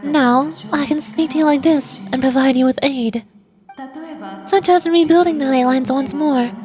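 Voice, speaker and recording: Video game spirit lord female actor: ancient, solemn, high-pitched, formal, measured delivery. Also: fairly even intonation, music in the background, lightly acted. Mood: solemn, explanatory. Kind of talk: scripted game dialogue.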